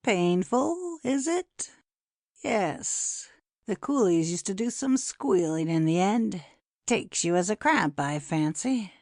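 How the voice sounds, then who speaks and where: A woman plays a cruel, gloating poisoner in a dramatic audiobook. The delivery is slow and taunting, gravelly with fry, and set close in a dry space. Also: medium pitch, lively intonation, a little mellow, no echo. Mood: mocking, menacing.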